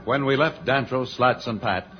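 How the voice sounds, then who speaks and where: Casting: male serial announcer, medium pitch, suspenseful theatrical narration over loud organ music, dull vintage sound. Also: normal speed. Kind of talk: dramatic old-time radio serial narration.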